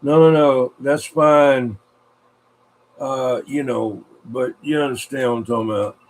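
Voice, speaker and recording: A man's voice: mid-range voice, fairly even intonation, a little slow, darkish tone, low-quality recording. A congested man hosting a casual livestream, folksy and rambling as he greets viewers and mutters to himself. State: congested, frequent coughing and throat clearing. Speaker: livestream host of a vintage radio show. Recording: clear background noise, room ambience.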